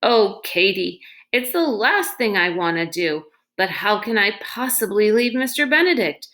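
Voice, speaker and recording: A woman's voice: medium pitch, very animated, a little slow, a bit bright. A woman voicing a brave, protective father in a storybook, earnest, emotional and resolute. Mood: anguished.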